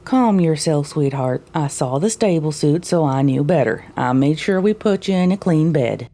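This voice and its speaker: A woman playing a brisk, teasing, motherly flophouse mare in an audio drama, folksy and matter-of-fact. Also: low-pitched, lively intonation, dark tone. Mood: soothing.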